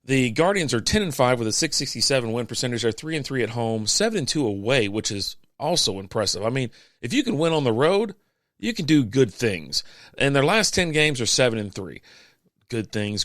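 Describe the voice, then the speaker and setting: A man hosting a podcast, reading out sports standings in a casual, chatty way with offhand quips, his voice going hoarse. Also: mid-range voice, a bit bright, dry recording, clean studio sound. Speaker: podcast host.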